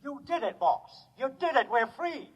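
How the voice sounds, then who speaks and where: A man's voice: extremely high pitch, big pitch swings, normal speed, husky, soft background music, heavily acted. An actor playing a devoted, eager male robot servant in 1950s radio drama, earnest and deferential. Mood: elated. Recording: dull old radio recording, long reverb.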